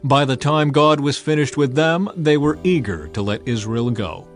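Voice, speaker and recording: A grave pagan priest played by a male narrator gives measured, instructive counsel in a scripture drama. The voice is middle-pitched over faint music. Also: close to an everyday voice.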